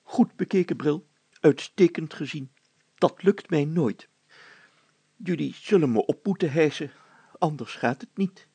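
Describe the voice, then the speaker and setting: A man voicing a fussy, bumbling older mayor in a children's story, flustered and self-pitying, hemming, hawing and clearing his throat. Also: fairly high pitch, animated, a little slow, pauses often, mellow, no room sound, pitched above the performer's own voice, heavily acted. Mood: sheepish, resigned.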